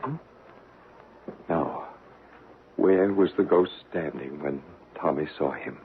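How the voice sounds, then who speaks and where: A man playing a calm, authoritative ghost investigator in a radio drama, measured and formal in his explanations. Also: very deep voice, creaky, background noise, full character voice. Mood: calm, inquisitive. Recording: tinny old radio broadcast.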